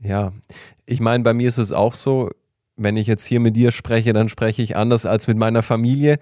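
A man's voice: medium pitch, fairly even intonation, moderate pace, dark tone, dry recording, clean studio sound. A man interviewing his guest, asking questions and listening attentively with frequent murmurs of agreement.

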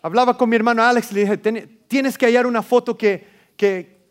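A man's voice: very high-pitched, steady tone, a little fast, clear, resonant tone. A man whose first language is English, preaching an emphatic sermon and calling out to the congregation.

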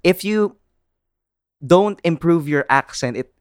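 Man, Filipino English accent, opinionated casual chat, smooth resonant tone, dry close sound. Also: a little high, lively intonation, slow, dry recording. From Philippines. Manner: chatty, opinionated, many "like" fillers.

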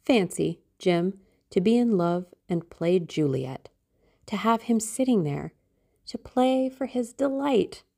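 Gushing lovestruck teenage girl, acted by a woman, lifted pitch. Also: expressive, slow pace, vocal fry, mellow, full character voice. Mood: rapturous. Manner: gushing, theatrical, exclamatory. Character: romantic, dreamy, impulsive teenage girl in love. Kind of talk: audiobook character acting.

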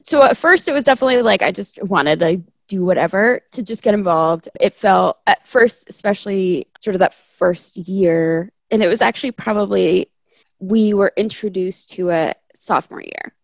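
Young woman from Chile, gravelly chatty guest, dry muffled recording. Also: mid-range voice, normal speed, dry recording. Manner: chatty, laughs often, quotes people in asides. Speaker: guest, landscape architect. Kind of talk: casual interview conversation.

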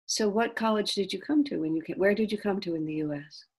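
Husky-voiced woman interviewer. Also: mid-range voice, fast talker, darkish tone. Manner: curious, encouraging, many back-channel replies. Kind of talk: conversational interview.

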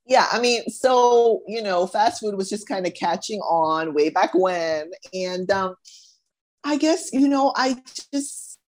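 A middle-aged woman from the Bahamas, a guest talking thoughtfully in a casual interview, with many pauses and restarts. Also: mid-range voice, expressive.

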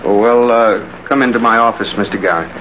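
An actor playing an anxious, easily led accomplice in an old radio drama, hesitant and stammering. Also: male voice, fairly low pitch, fairly quick, lightly acted. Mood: uneasy, deferential. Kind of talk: 1940s radio drama acting. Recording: muffled old radio with clear hiss.

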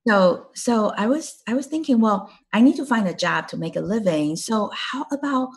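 A woman's voice: medium pitch, animated, normal speed, breathy edge. A middle-aged woman from Taiwan, a native Chinese speaker, chatting as a guest and telling her story with a laugh now and then. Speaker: guest, clinic co-owner. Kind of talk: casual interview chat.

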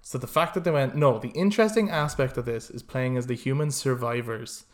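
A man's voice: mid-range voice, a little fast. A man co-hosting a podcast, chatting casually and enthusiastically about video games with plenty of swearing. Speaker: podcast co-host.

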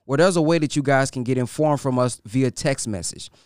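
Smooth, dry-voiced promo man. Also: mid-range voice, fairly quick, darkish tone, no echo. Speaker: promo announcer. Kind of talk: promotional spot.

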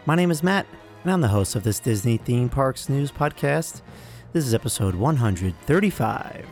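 Hoarse young man hosting a podcast. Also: mid-range voice, animated, moderate pace, over music. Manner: rambling, filler-heavy, apologetic. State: hoarse from a cold. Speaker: podcast host. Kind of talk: casual news podcast talk.

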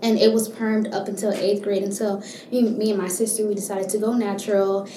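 A young woman from Miami, a university student, answering interview questions in a relaxed, thoughtful way with frequent ums. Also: mid-range voice, brisk, echoey room, a little hiss or hum, rough recording. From Miami, Florida. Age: young adult.

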